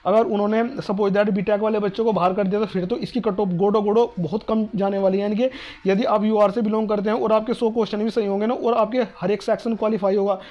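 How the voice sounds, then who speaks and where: A man's voice: sits high, steady tone, fast talker, warm tone, lo-fi sound. A man with a North Indian accent giving informal, explanatory advice to students, repeating his points, reassuring listeners and asking questions that he answers himself. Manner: repetitive, reassuring, asks and answers own questions. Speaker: exam-advice video host.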